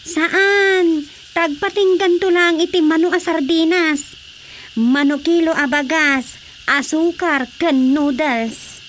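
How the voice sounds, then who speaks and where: A high-voiced woman playing a scolding village wife in a radio comedy drama. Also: fairly even intonation, slow, fairly bright tone, a little hiss or hum, exaggerated voice. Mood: grumbling. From Philippines.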